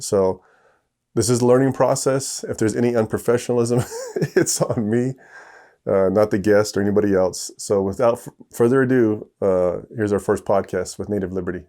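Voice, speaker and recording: A young adult man hosting his own podcast from home, rambling through an intro with lots of fillers and restarts and poking fun at himself. His voice sits fairly low and sounds dark and mellow. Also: steady tone, moderate pace. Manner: rambling, self-deprecating, many fillers and restarts. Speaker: podcast host. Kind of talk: casual podcast talk. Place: home, planes passing overhead.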